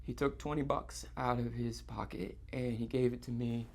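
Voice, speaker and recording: An actor playing a distraught, guilt-ridden man on stage, confessing in halting, repetitive, stammered phrases in a live theatre monologue. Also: mid-range voice, steady tone, vocal fry, a little mellow, slight background noise, close to an everyday voice. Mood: hesitant, recounting. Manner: halting, stammering, repeating himself.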